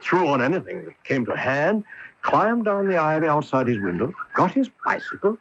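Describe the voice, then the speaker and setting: On a noisy, muffled AM radio tape, a self-assured gentleman detective in a radio mystery. His voice is high and swoops as he lectures eagerly and precisely. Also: big pitch swings, normal speed. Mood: confident, explaining. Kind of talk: 1980s radio mystery acting.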